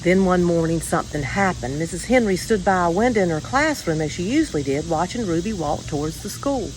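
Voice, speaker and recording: Female storybook reader, slightly low register, measured and expressive delivery, deliberate pauses, faint noise behind. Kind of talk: scripted children's story reading.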